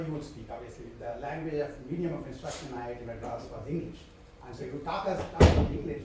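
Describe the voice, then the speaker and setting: A man's voice: a little high, a little mellow, recorded from a distance, slight background noise. Indian-accented man lecturing in an echoey hall. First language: Telugu. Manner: digressive, self-interrupting with fillers and chuckles. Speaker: professor lecturing. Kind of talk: live university lecture.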